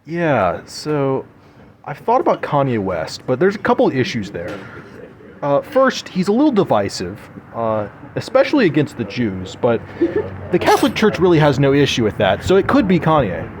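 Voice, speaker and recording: Young male panelist, casual comic chat, animated intonation, slightly noisy restaurant. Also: medium pitch, moderate pace. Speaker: podcast panelist. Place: sushi restaurant. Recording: clear restaurant background noise.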